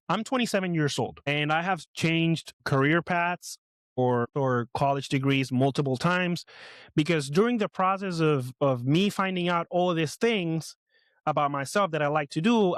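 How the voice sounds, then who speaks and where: A man's voice: fairly high pitch, dry recording. Nervous, rambling man in his twenties. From Puerto Rico. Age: 20s. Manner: nervous, rambling, many fillers and restarts. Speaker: podcast host. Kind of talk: casual personal monologue.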